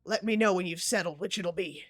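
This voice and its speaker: A woman's voice: on the low side, a little fast, dry recording, clean studio sound, soft background music, lightly acted. A woman voicing a curt, angry old farmer defending his daughter. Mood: sardonic. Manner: curt and sardonic.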